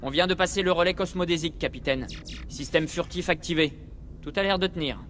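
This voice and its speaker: A man's voice: fairly high pitch, fairly quick, a bit bright, big-room sound, soft background music, lightly acted. A man voicing a cocky, paranoid, grumbling military spaceship pilot in a video game. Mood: wry, businesslike.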